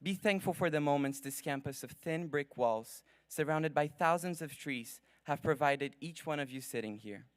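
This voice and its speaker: A young man whose first language is Portuguese, giving an earnest, formal graduation speech from a podium at a ceremony, with measured pacing and dramatic pauses. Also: on the high side, no echo. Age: young adult. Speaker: graduating student speaker. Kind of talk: prepared commencement speech.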